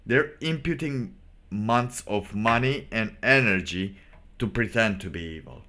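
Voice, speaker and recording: A man hosting a casual solo livestream, giving sardonic commentary in a halting way and repeating phrases for emphasis. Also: lowish voice, bright, forceful tone. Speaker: livestream host.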